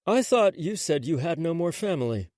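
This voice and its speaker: A casual young husband who keeps needling others with a teasing edge, voiced by the male reader. The voice is slightly high and lively, recorded close and dry. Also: moderate pace, dry recording.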